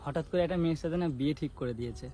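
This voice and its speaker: A man's voice: fairly high pitch, racing. A man talks casually but seriously, pressing his points with repeated rhetorical questions. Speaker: prank vlogger. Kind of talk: staged serious phone conversation, casual. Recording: loud background music. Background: music.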